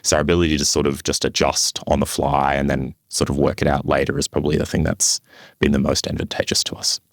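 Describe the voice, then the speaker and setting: A man giving conversational interview answers as a podcast guest, explaining things in a measured, tentative way. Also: rumbling low, little pitch movement, no room sound, clean studio sound. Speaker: podcast guest, construction professional.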